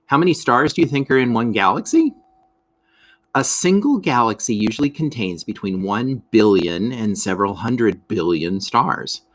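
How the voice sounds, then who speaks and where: Man reading a children's lesson aloud. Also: medium pitch. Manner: expressive, addresses listeners with questions. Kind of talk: scripted educational read-aloud for children.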